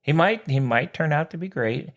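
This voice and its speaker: A man's voice: fairly high pitch, animated, moderate pace. Casual, slangy man talking sports. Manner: informal, slangy, exclamatory, addressing others as man and dude. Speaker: sports podcast co-host.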